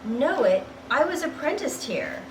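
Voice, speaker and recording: Woman playing agitated old Scrooge, raised pitch, roomy. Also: very animated, a little slow. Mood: excited. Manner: exclamatory, fervent. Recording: roomy, distant mic, noisy background.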